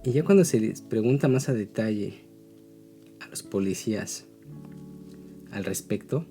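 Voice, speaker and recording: A man's voice: lowish voice, expressive, a little slow, mellow, soft background music. A man with a Mexican accent giving a casual, explanatory opinion talk as a video blogger, often checking in with tag questions.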